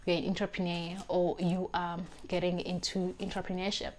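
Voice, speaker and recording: A woman's voice: lowish voice, flat delivery, normal speed, a little mellow, slight background noise. Earnest South African woman in her twenties. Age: 20s. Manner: earnest, emphatic, repeats phrases while searching for words. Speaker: vlogger. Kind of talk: talking-to-camera life advice. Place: small indoor room, plain wall. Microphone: lapel mic.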